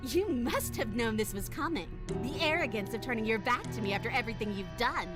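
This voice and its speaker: An actress playing a bitter, vengeful princess in a dramatic game, taunting and menacing. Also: female voice, fairly high pitch, lively intonation, fairly quick, natural voice. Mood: scornful. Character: bitter, vengeful captive princess, mocking and darkly gleeful. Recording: loud music behind the voice. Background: loud game music.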